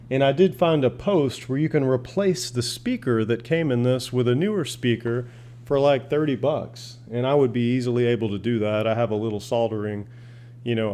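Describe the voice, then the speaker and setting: A man's voice: medium pitch, warm tone. Folksy man, casual demo. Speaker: hobbyist radio reviewer. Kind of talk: casual product demonstration.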